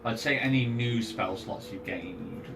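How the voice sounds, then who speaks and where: A man's voice: fairly low pitch, unhurried, creaky, music in the background. A man acting as game master, casually explaining rules, hesitating and repeating himself. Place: in-person game session, away from the mic. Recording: distant mic, very loud ambient noise.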